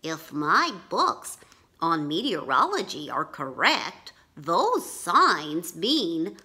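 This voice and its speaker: A woman voicing a gentle, bookish young boy bunny who politely pleads and explains in a storybook read-aloud. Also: fairly low pitch, wildly swooping pitch, drawn-out words, exaggerated voice. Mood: earnest.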